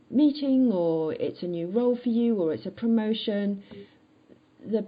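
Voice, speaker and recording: A woman's voice: mid-range voice, breathy edge, dull audio. A woman hosting a conversational interview, reflective and affirming, with tentative phrasing. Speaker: podcast host.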